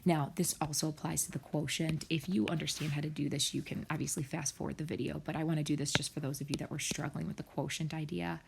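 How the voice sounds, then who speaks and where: A woman's voice: low voice, steady tone, fast, creaky, hardly any pauses, noisy background. A woman math teacher talking students through problems step by step in a recorded screen lesson, often dropping to a near whisper.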